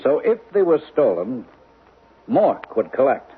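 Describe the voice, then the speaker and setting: An actor playing a shrewd, suspicious American consul in an old-time radio drama, probing and wry. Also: male voice, medium pitch, sing-song, measured pace, husky, exaggerated voice. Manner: probing, matter-of-fact, wry. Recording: muffled old radio recording.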